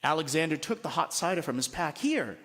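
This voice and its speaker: A priest, a man, reading a story aloud with expressive, deliberate storybook narration. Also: fairly high pitch. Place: large church at a Mass. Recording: long reverberant hall.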